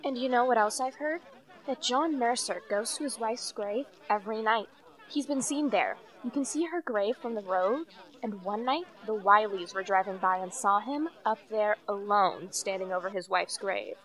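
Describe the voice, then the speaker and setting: A woman's voice: medium pitch, moderate pace, a bit bright, lightly acted. A teenage female student actor playing an affronted, gossiping society woman in a radio play, dramatic and confiding. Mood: gossipy.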